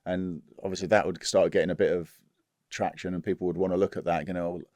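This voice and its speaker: A deep-voiced man hosts a podcast in a flat, monotone way. His voice sits close to the mic with a dry, roomless sound. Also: quick pace, no room sound. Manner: dull, monotone delivery. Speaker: podcast host. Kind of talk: casual business interview.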